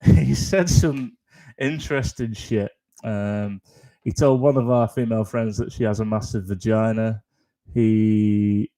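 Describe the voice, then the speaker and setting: A man hosting a live comedy stream, rambling in a flat deadpan tone, with lots of ums, restarts and swearing. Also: medium pitch. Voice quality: deadpan, flat-toned delivery. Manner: self-deprecating, frequent ums and restarts, sweary. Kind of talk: rambling stand-up style chat on a live stream.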